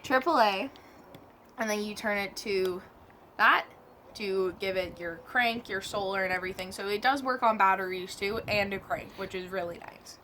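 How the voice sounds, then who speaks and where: A woman's voice: medium pitch, normal speed, sharp tone, a little hiss or hum. A woman giving a casual, chatty hands-on product demo, correcting herself as she goes. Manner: informal, chatty, self-correcting. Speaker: product reviewer.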